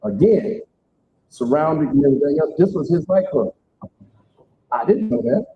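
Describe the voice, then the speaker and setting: A man's voice: animated, normal speed. Middle-aged man, plain-spoken and emphatic, giving testimony to a church-hall crowd. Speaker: speaker at community event. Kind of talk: personal testimony to a live audience. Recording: tinny phone-like sound, faint rumble.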